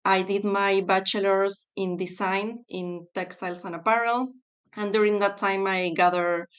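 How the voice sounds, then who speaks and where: Woman on a podcast, smooth clear voice, thinks out loud with lots of ums. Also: mid-range voice. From Argentina. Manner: thoughtful, with fillers and pauses. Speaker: guest, textile specialist. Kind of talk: podcast interview.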